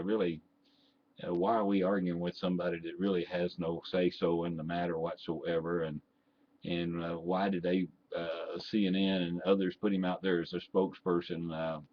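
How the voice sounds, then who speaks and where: Very deep-voiced older Texan man ranting. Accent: Texan, mixed with Southern. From Texas. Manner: blunt and indignant, with many fillers and restarts. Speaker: vlogger and ham radio operator. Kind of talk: opinionated rant to camera. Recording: dull lo-fi home recording with faint noise.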